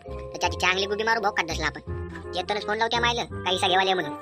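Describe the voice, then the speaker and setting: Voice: mid-range voice, quick pace, lightly acted. A performer voicing a cheeky village drinking buddy in a comic cartoon, giving teasing, coarse advice in rural Vidarbha Marathi slang. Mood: teasing, advising. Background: music.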